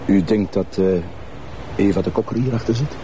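A man's voice: on the low side, a little fast, background noise, close to an everyday voice. A man playing an earnest, sceptical junior Flemish detective who reasons politely and methodically in a TV crime drama. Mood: pensive, sceptical. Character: earnest, sceptical junior male police detective, deferential to his boss. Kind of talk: naturalistic TV crime drama acting.

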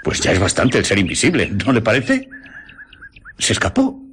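An actor playing a talkative, excitable, easily offended sailor in a radio drama, with a husky voice and a Castilian Spanish accent. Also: male voice, on the low side, normal speed, slight background noise. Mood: uneasy, gossiping. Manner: emphatic, indignant when crossed.

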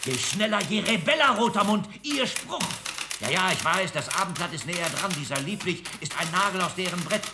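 Radio play blustering editor, sarcastic, scolding, pitch leaping around. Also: male voice, expressive, a little fast, noisy background. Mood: exasperated. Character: gruff, exasperated newspaper boss scolding a reporter.

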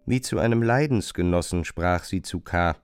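A man narrating a literary radio play from a script, in measured, carefully phrased long sentences on a close studio microphone. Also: sits low, smooth, dry recording, faint music behind.

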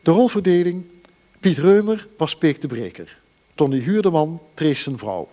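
A man's voice: on the high side, animated, normal speed, warm tone, big-room sound. A man reading scripted closing credits as a radio announcer.

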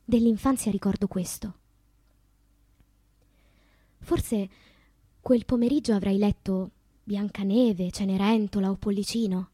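An actress playing a wistful, wounded woman poet in a theatrical radio monologue, with slow deliberate phrasing and a breathy voice that drops into whispers. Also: mid-range voice, fairly even intonation, mellow, no room sound, clean studio sound. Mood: wistful, haunted.

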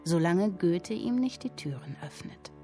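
A woman's voice: lowish voice, expressive, a little slow, over music. An actress playing an earnest young woman writer, speaking in a dramatic, literary radio drama style. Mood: scornful, resolute. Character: earnest young woman writing and reading her story.

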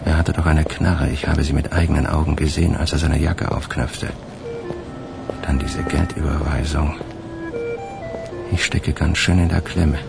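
Very deep, creaky hunted man. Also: little pitch movement, moderate pace, long pauses, exaggerated voice. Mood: brooding, uneasy. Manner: brooding, self-questioning. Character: hunted male suspect, cynical, brooding, plotting to hide. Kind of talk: radio drama inner monologue. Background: music.

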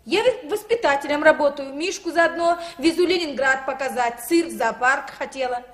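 A woman's voice: high voice, normal speed, close to an everyday voice. A woman playing an outspoken, practical kindergarten teacher in a 1960s radio drama, blunt and no-nonsense. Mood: brisk, blunt.

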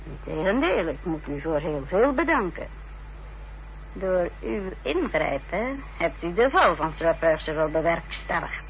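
Radio drama: bitter middle-aged woman, coldly formal, deliberate, creaky voice, muffled humming tape. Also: on the low side, very animated, a little hiss or hum. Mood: cold gratitude. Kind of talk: radio play acting. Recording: dull, humming old radio drama recording.

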